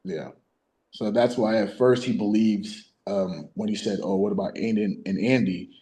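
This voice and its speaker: A man, an actor talking casually through his part in halting, repetitive phrases. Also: fairly low pitch, fairly even intonation, warm tone. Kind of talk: casual chat.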